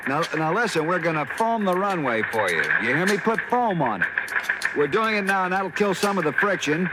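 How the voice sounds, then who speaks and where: A man's voice: high-pitched, expressive, a little fast. A man playing an anxious, helpful American ground controller, urgent yet reassuring, in 1950s radio drama style. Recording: noisy old radio recording.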